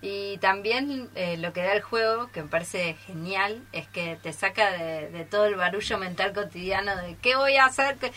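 Mujer argentina de voz brillante. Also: female voice, medium pitch, normal speed, hardly any pauses, pushed and bright. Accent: Argentine Spanish. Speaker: theatre workshop teacher. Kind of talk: casual radio chat. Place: radio studio, live broadcast.

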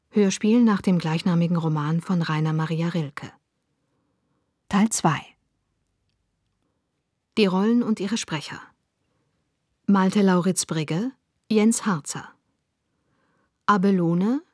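A woman reading scripted radio drama credits as an announcer, in a measured, formal way. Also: fairly low pitch, a little mellow, no echo, studio quality.

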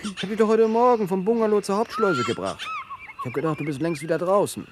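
A man playing a gruff, practical workman in a radio play, muttering and halting as he speaks. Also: high-pitched, lively intonation. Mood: matter-of-fact. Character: gruff, practical male demolition worker absorbed in work. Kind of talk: naturalistic radio play acting. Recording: noisy outdoor ambience with birdsong.

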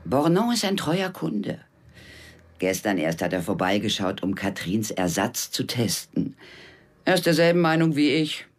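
A man's voice: mid-range voice, sing-song, unhurried. An actor voicing a brothel madam who reports to her pimp in a drawling, knowing way. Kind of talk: radio drama acting.

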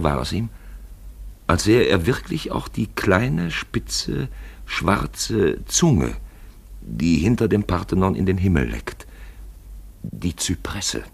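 A man narrating a literary radio play with gravelly, husky, measured and dramatic storytelling. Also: low voice.

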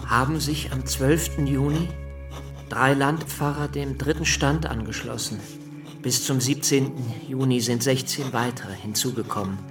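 An actor playing an earnest deputy recounting events precisely in a radio drama monologue. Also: male voice, mid-range voice, fairly even intonation, unhurried, recorded from a distance, over music, lightly acted. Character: earnest male deputy recounting the revolutionary assembly.

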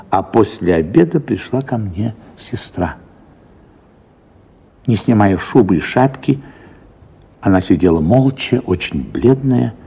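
A man playing a reflective, gentle narrator recalling his life in measured literary radio storytelling. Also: mid-range voice, breathy edge, lo-fi sound, exaggerated voice.

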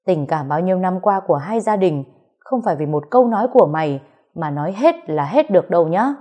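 A woman's voice: fairly low pitch, expressive, normal speed, dark tone. A woman voicing a proud, hot-tempered older father who scolds his son harshly in an audio story. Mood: furious shouting.

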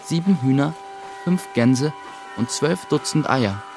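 Earnest young apprentice, music behind. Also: male voice, medium pitch, animated, takes it slowly. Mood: narrating, measured. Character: young miller's apprentice in sorcery, earnest, recalling his story. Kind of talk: scripted first-person narration in German radio play.

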